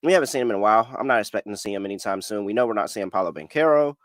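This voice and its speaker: Low-voiced male sports podcast host. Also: very fast, dry recording, studio quality. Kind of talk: casual chat, ad reads and betting picks.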